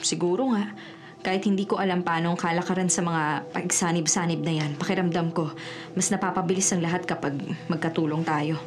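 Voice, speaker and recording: A woman playing a cunning, determined forger in a Filipino radio drama, brisk and resolute, with a Filipino accent. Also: fairly low pitch, fairly even intonation, lightly acted. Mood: curious, reassuring. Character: cunning, determined female forger seeking justice. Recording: radio drama with loud music underneath. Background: dramatic music.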